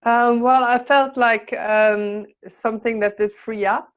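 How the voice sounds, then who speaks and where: A woman taking part in a voice workshop, speaking hesitantly with many pauses and fillers. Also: on the high side, fairly even intonation, clear, resonant tone, highs cut off. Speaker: workshop participant.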